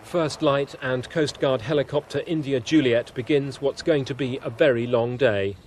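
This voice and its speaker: A man's voice: mid-range voice, darkish tone, a little hiss or hum. A man with a British accent delivering scripted news narration as a television reporter.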